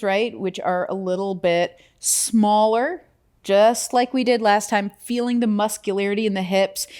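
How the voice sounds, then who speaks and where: Woman leading a chatty flexibility class. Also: medium pitch. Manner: chatty, playful, encouraging cues with whimsical wording. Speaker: fitness and flexibility instructor. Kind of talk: guided workout instruction, conversational.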